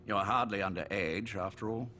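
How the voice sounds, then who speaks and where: Low-voiced, genteel sickly spymaster. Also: male voice, sharp tone, slight background noise. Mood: courteous, wry. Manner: urbane, measured, wryly polite. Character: genteel, sickly older British male spymaster, courteous but menacing. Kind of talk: dramatic game voice acting.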